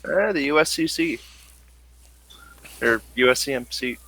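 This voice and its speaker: A man running a tabletop role-playing game as game master, improvising narration in a halting, thinking-aloud way. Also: medium pitch, animated, noisy background.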